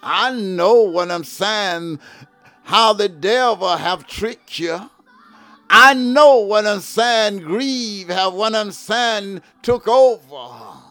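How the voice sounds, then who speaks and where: A man preaching a fervent gospel sermon, shouting and half-singing his phrases and repeating "what I'm saying" as a filler. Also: sits high, expressive, extremely slow, no room sound, faint music behind.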